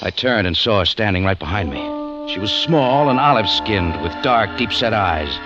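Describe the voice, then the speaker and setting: Male actor as hardboiled American cafe owner, terse and wry, animated pitch, dull vintage radio sound. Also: moderate pace, lightly acted. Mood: wry narrating. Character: tough wisecracking cafe owner and adventure hero in Cairo. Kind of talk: 1950s radio drama acting, hardboiled narration. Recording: muffled vintage 1950s radio recording, music behind.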